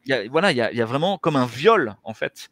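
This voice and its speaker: A middle-aged man speaks earnestly as an interview guest, working through his ideas step by step. His voice sits at an ordinary middle pitch. It is picked up by a headset mic on a video call, with a dry close sound. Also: sing-song, fast talker, a bit bright, dry recording. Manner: earnest, gestures with his hands while explaining. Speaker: interview guest, anesthesiologist and author. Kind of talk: explanatory conversational interview talk. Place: small indoor room.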